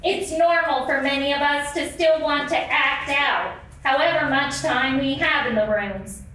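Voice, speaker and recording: A woman performs a feisty female dog puppet with a high, bright voice. It is a live sock puppet show, picked up from a distance in a very echoey room. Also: measured pace. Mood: indignant, earnest. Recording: echoey convention room, far mic, faint noise.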